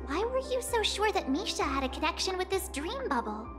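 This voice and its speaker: Tiny squeaky childlike companion. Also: female voice, sing-song, a little fast, music in the background. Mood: puzzled. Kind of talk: video game cutscene acting.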